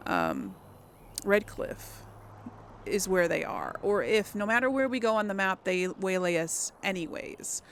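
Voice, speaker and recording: A woman's voice: mid-range voice, vocal fry, a little hiss or hum. A woman giving casual let's play commentary as host of a gaming series, chatty and thinking aloud as she plays. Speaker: host of a role-play video game series.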